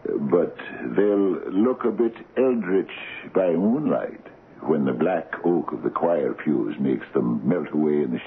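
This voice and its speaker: An actor playing a chatty Scottish village doctor in a radio drama, with a hesitant, folksy storytelling manner. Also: male voice, a bass voice, creaky, husky, full character voice. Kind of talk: old radio drama acting. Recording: muffled, hissy old radio broadcast.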